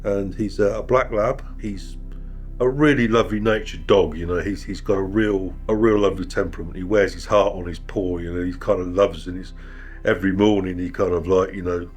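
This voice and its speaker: A man in his fifties with a very low, deep voice, talking in a measured, reflective way with a dry wit. It is a documentary interview, and faint music plays underneath. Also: fairly even intonation, darkish tone. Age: 50s. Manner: reflective, wry, measured with pauses. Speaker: interviewee, musician and sound engineer.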